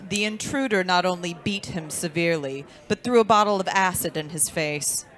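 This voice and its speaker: A woman's voice: lowish voice, moderate pace, a bit bright, soft background music, lightly acted. A woman playing a firm, composed small-town doctor in an old-style radio drama, speaking formally and with measure. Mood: grave, protective.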